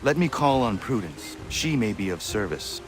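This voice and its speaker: A man playing a reserved young assassin in a video game, speaking in stiff, measured, formal phrases. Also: on the low side, very animated, over music.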